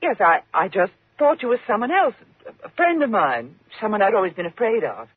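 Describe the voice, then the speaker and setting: A woman's voice: medium pitch, wildly swooping pitch, a little fast, no echo, full character voice. An actress playing a nervous, fearful woman in a 1950s radio thriller, with a faltering, agitated delivery. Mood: nervous, evasive. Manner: faltering, agitated, breathy gasps. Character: nervous, fearful woman trapped with a menacing man. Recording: muffled, tinny 1950s radio recording.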